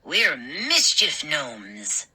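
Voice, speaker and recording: A woman voicing a mischievous male gnome with a raspy voice who speaks softly. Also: wildly swooping pitch, very slow, heavily acted. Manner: soft, low.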